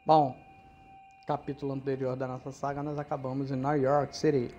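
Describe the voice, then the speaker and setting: A man's voice: mid-range voice, flat delivery, moderate pace, mellow, highs cut off, faint music behind. A man with a Brazilian accent giving chatty, slangy commentary on a video game as he plays, talking straight to his viewers. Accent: Brazilian Portuguese. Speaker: gameplay commentator. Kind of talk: casual let's-play commentary.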